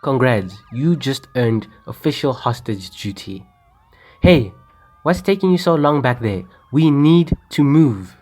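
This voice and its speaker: A man's voice: medium pitch, animated, a little slow, a little mellow. A young man acting a commanding, impatient armed bank robber in an amateur radio drama. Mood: impatient threats. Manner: commanding, curt. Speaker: student actor.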